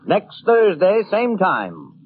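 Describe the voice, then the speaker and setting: A man's voice: high-pitched, huge pitch swings, slow pace, a little hiss or hum. A man reading a closing announcement as a 1940s radio announcer. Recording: tinny old radio broadcast, music behind.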